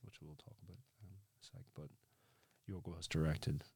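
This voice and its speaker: A man's voice: low-pitched, steady tone, moderate pace, vocal fry, noisy background. A man hosting a casual interview podcast. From Ireland. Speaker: podcast host, filmmaker.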